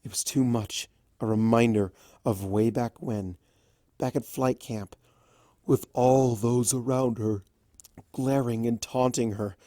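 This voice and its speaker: A storyteller reading fan fiction aloud as an expressive scripted narration. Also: medium pitch, slow, dry recording, studio quality.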